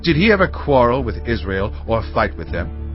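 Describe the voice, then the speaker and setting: A man's voice: mid-range voice, sing-song, normal speed, close to an everyday voice. A man acts as a proud, aggrieved Israelite commander in an audio Bible drama. His delivery is emphatic and rhetorical, with big melodic swings. Music plays behind the slightly dull recording. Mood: righteous, challenging. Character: Jephthah, proud aggrieved Israelite war leader, anguished father.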